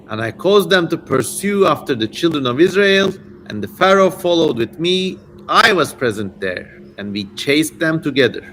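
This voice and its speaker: A man's voice: fairly high pitch, sharp tone. A man plays a fierce demon from an ancient scripture. He recounts his wicked deeds in a boastful, declamatory way. Some noise sits behind the voice. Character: fierce boastful male demon of the Red Sea. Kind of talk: dramatic reading of ancient scripture.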